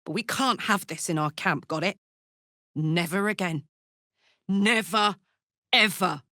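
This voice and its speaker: A woman voicing a brash, warm-hearted and rowdy female adventurer in a video game, with blunt, informal and expressive delivery. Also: lowish voice, a little slow, no echo, clean studio sound. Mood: indignant.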